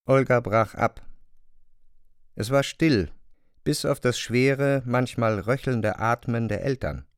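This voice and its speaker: An actor narrating and speaking as a man in a radio play, calm and measured. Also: medium pitch, clean tone, close to an everyday voice.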